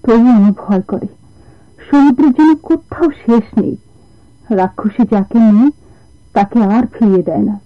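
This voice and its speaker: A high female voice from an actress portrays a tender, anxious young woman in love. She speaks with the open emotion of radio drama. The recording is slightly dull and lo-fi, like an old broadcast. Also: lively intonation, moderate pace, long pauses, close to an everyday voice. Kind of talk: Bengali radio drama acting.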